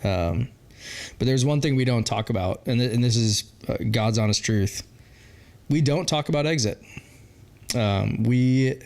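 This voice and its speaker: A man's voice: on the low side, a little mellow. A forty-year-old man answering interview questions as a brewery founder, candid and rambling, with lots of fillers and laughs. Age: 40s.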